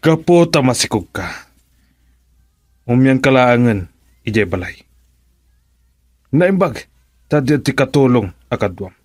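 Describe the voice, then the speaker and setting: Stern patriarch, dry sound. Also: male voice, medium pitch, lively intonation, takes it slowly, long pauses, a little mellow, dry recording. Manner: scolding, indignant. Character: stern, angry father, a wealthy older patriarch. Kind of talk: radio drama acting.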